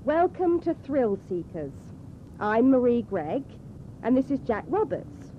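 An upbeat expedition guide. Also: female voice, fairly high pitch, sing-song, a little slow. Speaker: tour guide. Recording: dull cassette tape with hiss.

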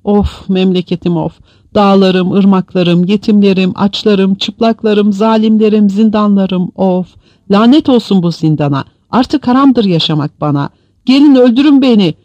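Man performing a wailing, crazed, high-voiced prisoner. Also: moderate pace, no room sound, highs cut off, close to an everyday voice. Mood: desperate wailing. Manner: loud, wailing, desperate cries. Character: anguished male prisoner, crazed, wailing laments and begging. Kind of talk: dramatized audiobook reading.

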